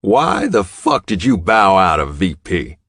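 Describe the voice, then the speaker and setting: A man acts out an older outlaw biker boss with a deep voice. He is blunt, gruff and commanding, growling his orders in a dry audiobook sound. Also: wildly swooping pitch, a little slow, fairly bright tone, dry recording, full character voice. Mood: stern, commanding.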